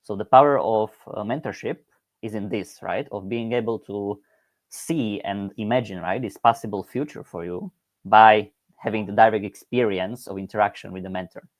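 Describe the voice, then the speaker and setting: A man speaks in a low, dry voice over a video call. He reasons in abstract, academic terms, stopping often on "uh" and adding "right?" to his points. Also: normal speed, no room sound. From Eastern Slovakia. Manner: hesitant, many "uh" fillers and "right?" tags. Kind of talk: thoughtful academic talk.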